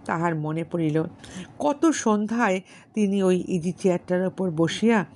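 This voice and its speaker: A woman's voice: fairly low pitch, unhurried, darkish tone, a little hiss or hum. A woman reading a literary story aloud as an audiobook narrator, in scripted narration.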